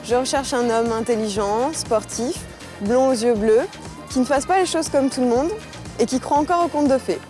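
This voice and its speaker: A woman's voice: on the high side, moderate pace, dark tone, faint music behind. A woman in her twenties, a game show contestant, polite and earnest. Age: 20s.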